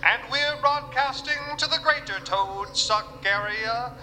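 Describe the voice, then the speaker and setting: A man's voice: very high voice, slow pace, sharp tone, in a large hall, slight background noise, exaggerated voice. A man playing a courtly, folksy Southern preacher in an audio drama, speaking in a grandiloquent, courteous way. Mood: grandly reverent. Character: courtly folksy preacher husband broadcasting from inside a radio.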